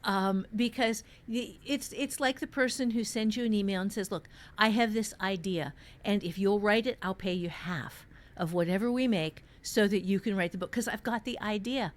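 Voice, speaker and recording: A woman's voice: mid-range voice, moderate pace, few pauses. A woman giving casual, emphatic advice on fiction writing as a podcast host, explaining with playful asides.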